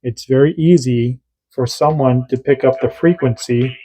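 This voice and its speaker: A man's voice: mid-range voice, moderate pace, mellow, breathy edge. A man hosting a casual radio hobby tutorial, chatty and repetitive, doing radio checks as he demonstrates. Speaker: radio hobby channel host.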